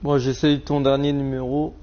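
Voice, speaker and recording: A man's voice: medium pitch, level tone, normal speed, mellow. A man making casual, slangy prank-call banter on a live stream, with a cheeky manner. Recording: dull lo-fi live stream audio.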